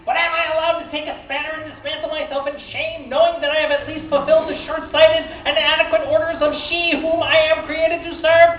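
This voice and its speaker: A woman's voice: high-pitched, little pitch movement, brisk, few pauses, natural voice. A woman playing a vain, tormented clockwork robot tailor with theatrical haughtiness in a comedy radio play. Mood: anguished, vain. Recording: muffled distant echoey convention room, hissy.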